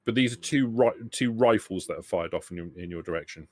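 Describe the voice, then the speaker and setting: A man's voice: on the low side, dry recording, studio quality. A man narrating a tabletop game as game master, improvising and often rephrasing as he goes. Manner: hesitant, halting, often rephrasing.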